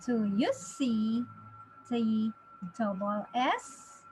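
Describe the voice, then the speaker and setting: A woman in her thirties giving a phonics lesson to young children, encouraging them with drawn-out, exaggerated enunciation. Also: on the high side, animated, darkish tone. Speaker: reading teacher. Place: small indoor home teaching space. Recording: clearly noisy home webcam recording.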